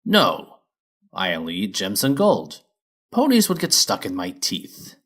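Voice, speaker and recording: A man's voice: low-pitched, huge pitch swings, a little slow, fairly bright tone, exaggerated voice. Man voicing a lazy, offhand storybook dragon. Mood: mildly insulted. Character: lazy, laconic, self-serving male dragon.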